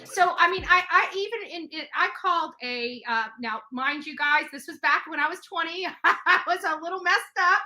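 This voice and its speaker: High, bright-voiced blunt woman. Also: moderate pace, few pauses, soft background music. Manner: blunt, emphatic, repeats phrases for emphasis. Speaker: interview guest. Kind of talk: casual personal storytelling in an interview.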